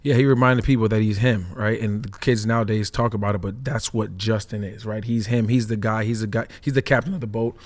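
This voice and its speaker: A man who is a former pro football player, talking energetically on a sports podcast and tagging his points with quick questions. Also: fairly low pitch. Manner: energetic, repeats himself, peppers lines with "right?". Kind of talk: casual sports podcast chat.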